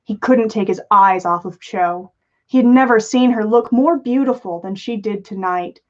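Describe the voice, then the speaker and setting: A woman's voice: medium pitch, lo-fi sound. A woman reading a story aloud for a livestream in an amused, dramatic manner, with small slips. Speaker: podcast host reading listener fan fiction.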